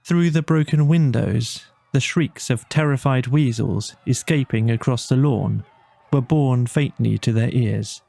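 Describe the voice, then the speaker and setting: A man narrating a bedtime storybook in a measured, dramatic storytelling manner. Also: medium pitch, vocal fry, warm tone, no room sound. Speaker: audiobook narrator.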